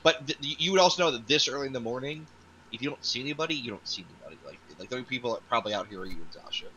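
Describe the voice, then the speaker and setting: A man narrating as game master of a tabletop game, halting with fillers and restarts. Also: mid-range voice, expressive, pushed and bright, slight background noise, poor audio.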